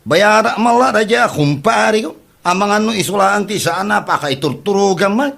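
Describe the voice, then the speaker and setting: A man's voice: high-pitched, normal speed, gravelly, fairly bright tone, heavily acted. A man playing a boastful, debt-ridden Ilocano man who whines and stammers in a comedy drama. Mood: indignant, brash. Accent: Filipino, Ilocano. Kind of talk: comedy audio drama acting.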